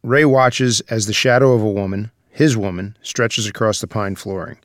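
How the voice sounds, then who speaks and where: A man's voice: on the low side, steady tone, normal speed, no echo, clean studio sound. A man reading stage directions as scripted narration for a screenplay.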